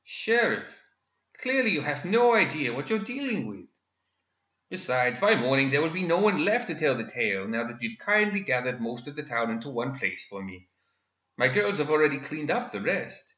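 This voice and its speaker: A man's voice: mid-range voice, sing-song, normal speed, bright, forceful tone, pitched above the performer's own voice. A man voicing a smug, pleasantly mocking male villain inventor who is part machine, in a theatrical manner. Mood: gloating. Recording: dull echoey room.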